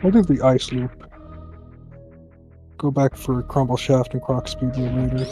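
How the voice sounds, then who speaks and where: A man playing a game and thinking aloud, muttering his plans in a halting way. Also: mid-range voice, dark tone, soft background music. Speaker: speedrunner.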